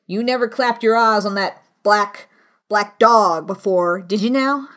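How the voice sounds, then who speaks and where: A woman's voice: medium pitch, moderate pace, fairly bright tone, lightly acted. A woman voicing a hearty, commanding male tavern landlord, an old seafarer with blustering, salty talk, in a storybook reading. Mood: stern, scolding.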